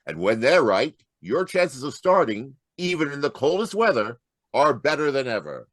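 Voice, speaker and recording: A man's voice: mid-range voice, sing-song, normal speed, no room sound, studio quality. An actor playing an upbeat old-time radio announcer with a polished salesman's delivery. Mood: upbeat, selling.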